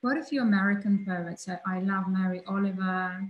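A woman's voice: mid-range voice, steady tone, brisk, dull audio. A woman from Ukraine, a poet and yoga teacher, talking in a thoughtful, hesitant way with light laughs over a video call. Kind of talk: casual interview talk. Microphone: video call mic.

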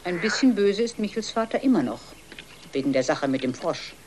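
A woman's voice: fairly low pitch, animated, fairly quick, a little mellow, a little hiss or hum, close to an everyday voice. A woman narrator telling a scripted children's story.

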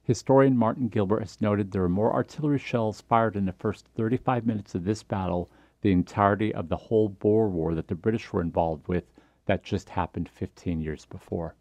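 A man's voice: on the low side, brisk, a little mellow, no room sound. A man reading a scripted history documentary narration as the narrator.

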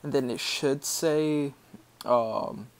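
A man giving a casual screen-recorded software tutorial, explaining step by step in an informal, halting way. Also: mid-range voice, gravelly, clean tone. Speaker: tutorial maker.

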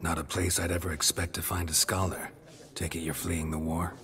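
Stoic monster hunter, extremely deep, gravelly, deadpan, terse, fantasy video game. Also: male voice, a little fast. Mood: terse, inquiring. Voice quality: gravelly, low. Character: gruff, stoic middle-aged male monster hunter. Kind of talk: video game cutscene acting.

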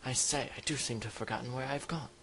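A man plays a pompous old-fashioned gentleman explorer with a put-on British accent. He is formal and polite, easily flustered, and repeats his phrases as he comments on a video game. There is light noise and keyboard clatter on the recording. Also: mid-range voice, fast, natural voice. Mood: pompous, genteel.

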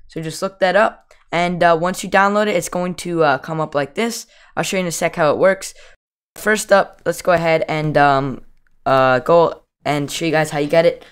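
A young man narrating a casual software tutorial as the host of a video. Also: fairly high pitch, normal speed, clear, resonant tone.

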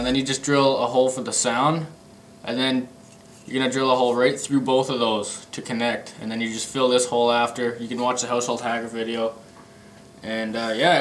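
A man's voice: mid-range voice, a bit bright. A young man giving a casual hands-on show-and-tell in loose, informal, slightly hesitant phrasing, picked up by a camera microphone indoors. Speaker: hobbyist demonstrating a homemade gadget. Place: indoor room with a pool table. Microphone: camera mic an arm's length or more away. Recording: noisy amateur camera microphone.

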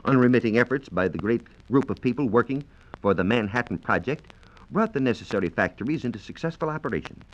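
An American man giving formal, measured scientific explanations as a reporter on a 1940s radio program. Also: medium pitch, lively intonation. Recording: dull, band-limited 1940s radio transcription disc.

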